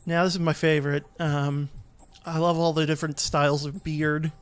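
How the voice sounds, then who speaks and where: A man's voice: fairly high pitch, level tone, normal speed, smooth, faint music behind. A man giving casual gameplay commentary as a let's play host, easygoing and a bit hesitant as he explains.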